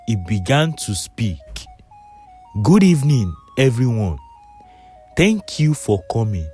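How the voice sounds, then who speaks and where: A man's voice: on the low side, darkish tone. A man narrating a scripted folktale in storybook style.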